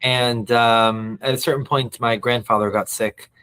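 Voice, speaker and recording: A man in his thirties who grew up between the US and Israel, a podcast guest telling stories in a reflective, halting way. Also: medium pitch, fairly even intonation, fairly bright tone, clean tone. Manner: reflective, many restarts and fillers. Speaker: guest, nonprofit CEO. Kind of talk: interview.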